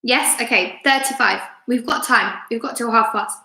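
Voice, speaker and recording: A woman's voice: on the high side, moderate pace, vocal fry. A woman who works as a teacher, reading a story aloud on a live stream and chatting expressively with her young listeners. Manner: expressive, asks listeners questions. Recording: roomy, echoey room sound.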